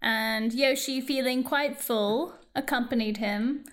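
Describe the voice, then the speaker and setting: A woman narrating an improvised comic story slowly, haltingly searching for words. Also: fairly high pitch, fairly even intonation.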